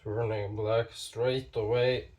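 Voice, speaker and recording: A man with a stuffy nose from a cold, talking slowly while demonstrating knife sharpening, with halting pauses and self-corrections. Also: lowish voice, level tone, darkish tone, distant mic. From Norway. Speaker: knife sharpening channel host. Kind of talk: casual hands-on demonstration.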